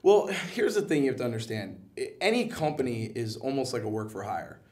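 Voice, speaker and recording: A man's voice: mid-range voice, animated, fairly quick, a little mellow. A man speaking as an interview guest in a casual, enthusiastic, slangy and joking way. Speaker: guest, comic book and animation producer. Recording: voice somewhat far from the mic.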